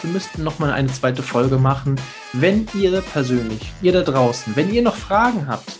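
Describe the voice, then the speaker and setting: A man hosting a podcast interview, talking in an enthusiastic, chatty way. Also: on the high side. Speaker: podcast host.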